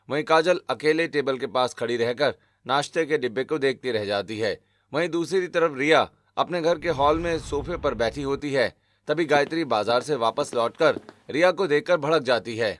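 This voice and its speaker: Guy narrating a cartoon family story, normal pitch, scripted, little bit of noise behind. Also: normal speed.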